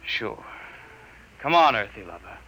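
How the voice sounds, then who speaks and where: A man's voice: fairly low pitch, big pitch swings, measured pace, heavy background noise. An actor playing a sneering, vengeful army lieutenant in a 1950s radio drama, mocking his prisoner.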